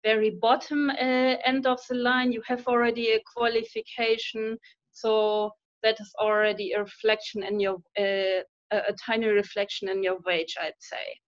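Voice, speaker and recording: A woman's voice: on the high side, level tone, moderate pace, no room sound. A woman in her thirties with a German accent, a university lecturer answering interview questions earnestly with many fillers and restarts over a video call. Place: indoor room at home. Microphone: laptop webcam mic on a video call. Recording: dull lo-fi call audio.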